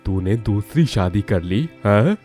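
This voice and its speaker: Dude voicing village men in a cartoon, dramatic and low-ish. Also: male voice, expressive, unhurried, mellow. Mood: angry. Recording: background music under voice.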